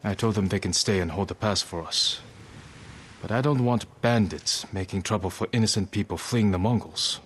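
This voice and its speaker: Man playing a deep-voiced, grave samurai lord. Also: a bit bright, slight background noise, lightly acted. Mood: calm, commanding. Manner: terse, measured, serious. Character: steadfast, grave young samurai lord leading a war. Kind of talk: dramatic video game cutscene acting.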